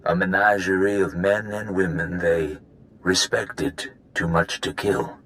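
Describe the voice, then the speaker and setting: A man's voice: deep voice, fairly even intonation, a little slow, a bit bright, rough recording. An actor playing an ancient, weary male prisoner in a video game, solemn and secretive, telling his story with grave deliberation. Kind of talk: dramatic video game acting.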